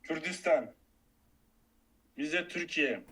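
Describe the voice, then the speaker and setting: A man's voice: fairly high pitch, slow, bright, forceful tone, husky. A man with a slightly raised voice, pushing back at someone on a random video chat. His talk is coarse and casual.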